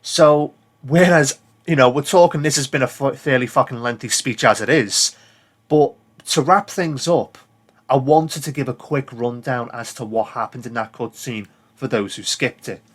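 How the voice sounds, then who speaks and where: A man's voice: mid-range voice, normal speed. A British man giving casual, rambling commentary as a gaming video creator, plain-spoken and given to swearing.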